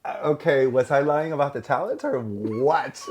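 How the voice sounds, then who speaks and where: A man's voice: a little high, sing-song, slow, darkish tone, slightly rough. A man hosting a podcast interview, asking curious questions and laughing easily. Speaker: podcast host. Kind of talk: casual interview.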